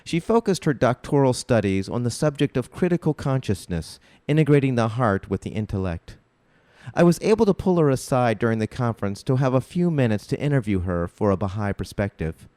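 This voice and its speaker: A man hosting a community radio program, announcing and introducing in a measured, scripted way. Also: mid-range voice, lively intonation, darkish tone, no room sound. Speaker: radio host.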